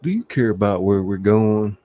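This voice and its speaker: A man's voice: fairly low pitch, lively intonation, moderate pace, mellow, a little hiss or hum, natural voice. A man voicing an affectionate, concerned young male outlaw, sweet-talking his lover in a folksy way. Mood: tender. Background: faint music.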